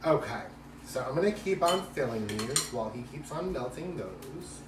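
A man's voice: medium pitch, big pitch swings, moderate pace, music in the background. A man hosting a casual live cooking demonstration, chatty and joking with campy asides. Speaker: cooking show host. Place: home kitchen, indoors. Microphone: camera a few steps away. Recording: echoey, distant, noisy kitchen with dish clatter.

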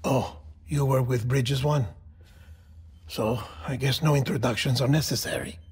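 An actor playing a solemn, polite medical official in a video game, formal, deferential and gently grave. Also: male voice, medium pitch, steady tone, measured pace, slightly rough. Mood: matter-of-fact. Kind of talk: cinematic video game acting.

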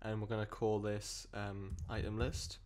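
Male coding tutor, lowish croaky voice from a cold, casual rambling delivery, desk mic. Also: little pitch movement, unhurried, a little mellow, slight background noise. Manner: rambling, self-correcting explanations with many fillers. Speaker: programming tutor. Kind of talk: screen-recorded coding tutorial.

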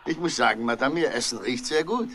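An actor playing a shrewd, unhurried police inspector with a dryly probing, polite manner in a 1960s radio crime drama. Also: male voice, mid-range voice, fairly bright tone, close to an everyday voice.

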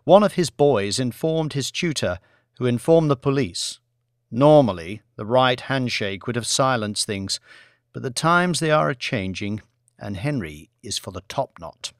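A man's voice: mid-range voice, animated, a little slow, no echo, clean studio sound. A man reading scripted fiction as a dramatic audiobook narrator.

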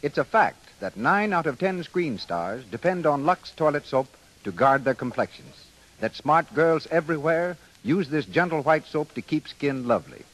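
A man's voice: fairly high pitch, a bit bright, rough recording. An American man announcing from a script in polished 1930s radio style.

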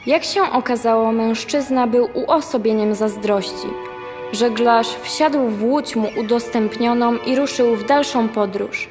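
A woman's voice: a little high, unhurried, close to an everyday voice. A young woman narrating a radio play as the storyteller of a sailor's sea voyage. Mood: solemn storytelling. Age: young adult. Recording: long hall reverb under music.

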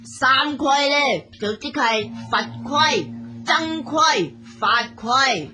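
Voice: high-pitched, expressive, extremely slow, bright, forceful tone, soft background music, full character voice. A performer in a Cantonese radio drama playing a young, rule-bound temple monk, lecturing and fretful.

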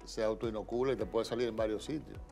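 A man's voice: on the low side, fairly even intonation, quick pace, gravelly, darkish tone, soft background music. An older man, a doctor co-hosting a studio health show, explaining medical terms. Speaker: doctor co-host. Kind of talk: casual interview chat. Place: TV studio set. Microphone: lapel mic.

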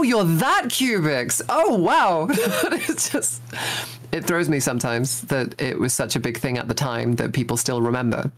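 A young man, a voice actor guest, talking casually in an interview with lots of ums and restarts. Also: medium pitch. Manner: hesitant, many fillers and restarts.

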